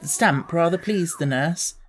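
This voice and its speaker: Low-voiced woman narrating a children's audiobook. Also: unhurried. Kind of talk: expressive scripted children's storytelling.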